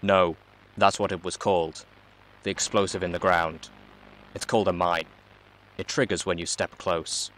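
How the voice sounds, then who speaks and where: A man's voice: deep voice, fairly even intonation, moderate pace, long pauses, fairly bright tone, a little hiss or hum, rough recording. A man voicing a mysterious hacker who speaks through a robot in a storybook reading, a blunt, helpful guide with a casual, matter-of-fact manner.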